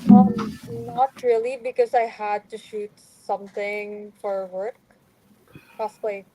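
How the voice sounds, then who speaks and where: A sleep-deprived woman from the Philippines chatting softly on a video call. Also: medium pitch, fairly even intonation, slow, faint music behind, rough recording. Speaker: guest cosplayer.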